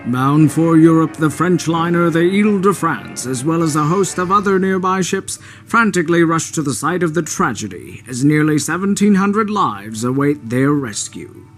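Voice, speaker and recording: A man's voice: fairly high pitch, animated, normal speed, few pauses, faint music behind. A man playing a 1950s newsreel announcer in a radio drama, with grave, declamatory delivery. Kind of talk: newsreel narration.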